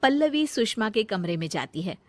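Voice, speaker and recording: A woman's voice: medium pitch, big pitch swings, normal speed, dry recording. A woman giving scripted narration for a cartoon family story.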